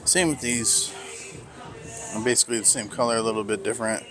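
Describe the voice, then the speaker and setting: A man vlogging casually as he browses sneaker stores in a mall, talking slowly and musing to himself with lots of fillers. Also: mid-range voice, fairly even intonation, music in the background. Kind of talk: casual walk-through commentary.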